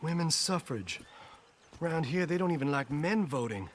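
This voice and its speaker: An actor playing an anxious, lovestruck young gentleman in a video game, earnest, fretful and pleading. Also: fairly high pitch, animated, normal speed, a little hiss or hum, lightly acted. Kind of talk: video game cutscene acting.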